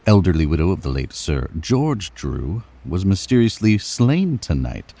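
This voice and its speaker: A man playing a grave 1930s radio newsreader, with a husky, dark voice and formal bulletin delivery. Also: low voice, big pitch swings, a little slow, heavily acted. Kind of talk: old-time radio news bulletin acting.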